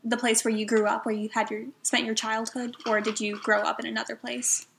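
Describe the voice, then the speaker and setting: A woman's voice: on the high side, level tone, a little fast, creaky, fairly bright tone, slight background noise. A young woman student interviewing someone for a class, asking hesitant questions with many um and like fillers. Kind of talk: oral history interview.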